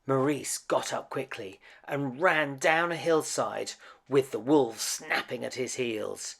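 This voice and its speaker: A man reading a picture book aloud to children as an expressive, dramatic storyteller. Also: mid-range voice, slow pace, gravelly, husky. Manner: dramatic, with emphatic swells and hushed drops.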